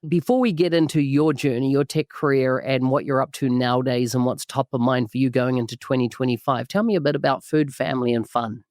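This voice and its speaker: A man's voice: medium pitch, fairly quick, hardly any pauses, a little mellow, dry recording, clean studio sound. A man hosting a podcast, enthusiastic and full of praise. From New Zealand. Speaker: podcast host. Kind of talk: podcast interview.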